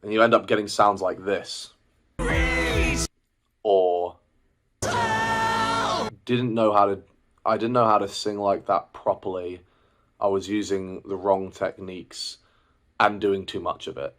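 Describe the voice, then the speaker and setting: Low-voiced young man venting. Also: steady tone, unhurried, pauses often, fairly bright tone. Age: 20s. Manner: reflective, rambling with restarts and fillers. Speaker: singer and vlogger. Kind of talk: casual personal vlog.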